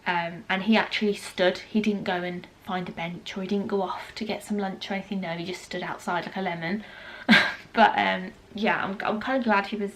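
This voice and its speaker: A woman's voice: mid-range voice, fairly even intonation, fairly quick. A British woman in her thirties with a cold, chatting casually to camera and telling a story with lots of ums and asides. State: congested with a cold. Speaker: vlogger.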